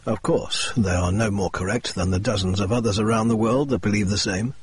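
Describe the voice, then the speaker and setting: A man voicing a calm, dry, precise male detective, measured and composed in a slightly lowered husky voice. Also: no echo. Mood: explaining.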